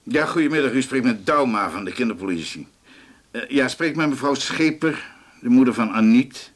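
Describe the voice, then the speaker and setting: An actor playing a sensible, patient police officer in a radio drama, reasonable and matter-of-fact. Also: male voice, mid-range voice, animated, normal speed, close to an everyday voice. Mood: businesslike. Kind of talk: naturalistic radio drama acting.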